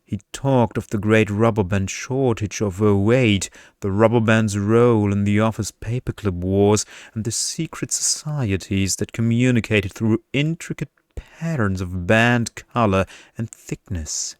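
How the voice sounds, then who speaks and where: Slow, smooth, deep-voiced man reading a story. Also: dry recording, studio quality. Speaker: story reader, ASMR narrator. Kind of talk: ASMR storytelling, scripted narration.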